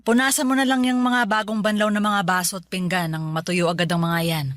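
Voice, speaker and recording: An actress playing a caring, hardworking single mother in a radio drama, speaking tenderly and insisting gently. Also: medium pitch, flat delivery, fairly quick, no room sound, clean studio sound, natural voice.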